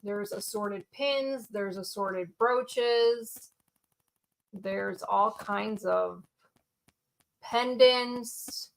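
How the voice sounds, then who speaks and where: Slow-spoken woman listing auction items. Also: medium pitch, a bit bright, poor audio. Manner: slow, itemizing, hesitant with ums. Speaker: auction co-host. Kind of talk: describing items for sale.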